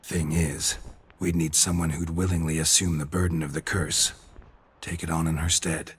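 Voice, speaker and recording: An actor playing a stoic, world-weary monster hunter in a video game, speaking in terse, deadpan lines with a gravelly, husky voice. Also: male voice, rumbling low, normal speed, heavily acted. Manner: terse, deadpan, clipped sentences.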